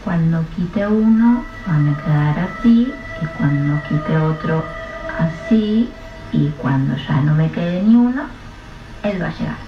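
A woman voicing an earnest little boy of two, speaking slowly and simply in a roomy, dull recording. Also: low voice, expressive, over music, voice pushed down. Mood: solemn. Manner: simple toddler phrasing, slow and careful. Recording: roomy, dull, distant mic.

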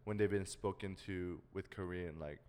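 A man's voice: low voice, normal speed, a little mellow, clear, resonant tone, long reverb tail. A young man co-hosting a casual podcast chat, slangy and full of fillers, with lots of "dude" and "you know what I mean.". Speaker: podcast co-host.

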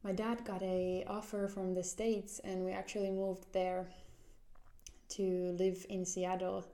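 A young Finnish woman in her twenties talks through her solo podcast at an unhurried pace. Her voice is soft and mellow, and she speaks with a Finnish accent. She is earnest and often circles back to restart a phrase as she searches for her words. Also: medium pitch. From Finland. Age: 20s. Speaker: podcast host.

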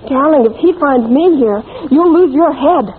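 A woman's voice: sits high, unhurried. A woman acting a young manicurist sweetheart in a 1940s radio play, wounded, wry and melodramatic. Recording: tinny, noisy old radio recording.

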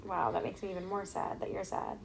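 Giggly young woman with a gravelly voice. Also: fairly low pitch, lively intonation, a little hiss or hum. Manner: giggly, quick reactions. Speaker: podcast co-host. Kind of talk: casual chat.

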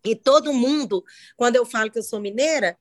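A high-voiced middle-aged woman hosting a podcast, with a faint Minas Gerais Brazilian Portuguese accent. She talks chattily and casually, often restarting her sentences. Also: fairly quick. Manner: chatty, warm hostess with frequent restarts. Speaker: podcast host. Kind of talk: casual interview chat.

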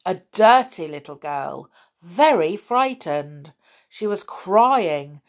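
A woman's voice: fairly low pitch, expressive, slow. A woman voicing a grumpy, miserable male goblin in a children's story, drawing out words in sulky disapproval. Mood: disapproving.

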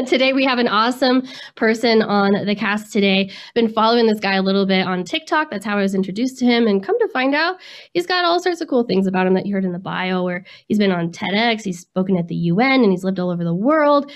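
A woman with a medium-pitched voice co-hosts an interview podcast. She is from Colorado and talks in an upbeat, chatty way, often laughing along. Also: expressive, brisk. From Colorado, United States. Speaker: podcast co-host. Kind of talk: casual interview chat.

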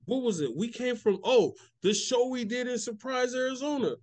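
A man with a notably high voice chats on a casual podcast. He tells stories loosely and swears freely. He often acts out what other people said. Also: moderate pace, a little mellow. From California. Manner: profane, storytelling with acted-out quotes. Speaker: podcast co-host. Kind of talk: casual chat.

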